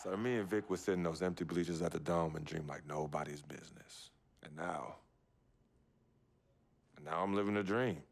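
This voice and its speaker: A loyal young male ballplayer in a video game scene. His voice sits low. He talks naturally and earnestly, as someone casually telling a story from memory. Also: moderate pace, creaky, long pauses, a little hiss or hum. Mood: heartfelt.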